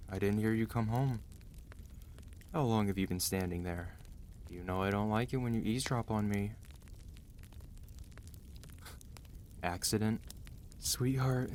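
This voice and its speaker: Man acting as weary mafia boss husband, low voice, commanding yet gentle, dry, intimate ASMR roleplay, audible background noise. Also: close to an everyday voice. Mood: tired, softening. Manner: tired, authoritative, dry, intimate.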